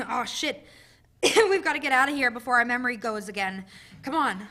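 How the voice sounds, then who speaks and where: A woman's voice: fairly high pitch, expressive, moderate pace, sharp tone. A woman in a stage play reading, playing an urgent escapee who coughs between phrases.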